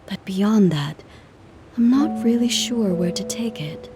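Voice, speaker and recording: An actress playing a gentle, earnest traveling musician in a video game, speaking politely and in measured phrases. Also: female voice, mid-range voice, lively intonation. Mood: grateful, gentle. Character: gentle, earnest female traveling musician, composed but careworn. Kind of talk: video game cutscene acting.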